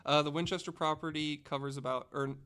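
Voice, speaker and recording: A man's voice: on the high side, steady tone, brisk, pushed and bright, clean tone. A man on a casual podcast panel, presenting researched facts in a conversational way and partly reading from notes.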